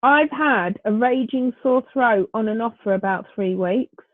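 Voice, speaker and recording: Tired, bunged-up Northern English woman co-host, higher voice, dry and phone-like. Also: a little slow, dry recording. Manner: weary, short replies. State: tired, congested. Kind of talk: casual chat. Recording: dry tinny phone-like line.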